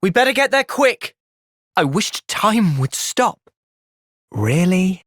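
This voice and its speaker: A man voicing a thoughtful, loyal young hero in a video game, with an earnest, measured delivery. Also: sits high, sing-song, a bit bright, no room sound, clean studio sound, full character voice. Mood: urgent, then calm. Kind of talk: video game cutscene voice acting.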